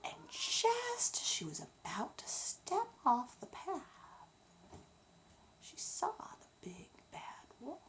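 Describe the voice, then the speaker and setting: A woman narrating a bedtime story in a dramatic, expressive, unhurried way. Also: very high-pitched, whispered.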